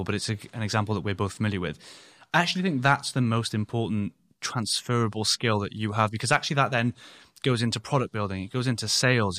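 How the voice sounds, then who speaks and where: Man host, fast-paced, emphatic and explanatory. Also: lowish voice, fairly bright tone. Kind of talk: conversational interview.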